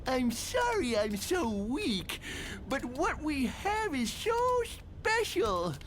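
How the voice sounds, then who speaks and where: A man's voice: extremely high pitch, huge pitch swings, slow, fairly bright tone, soft background music, full character voice. A man voicing a crude mutant villain who also poses as a gushing woman in a motion comic. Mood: gushing, lovelorn. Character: crude huge male villain catfishing as a gushing lovelorn woman.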